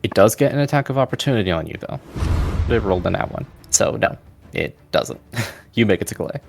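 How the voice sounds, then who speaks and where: A man's voice: fairly low pitch, expressive, brisk, gravelly, slight background noise. A man narrating a tabletop roleplaying game as game master, in a casual, plain-spoken way.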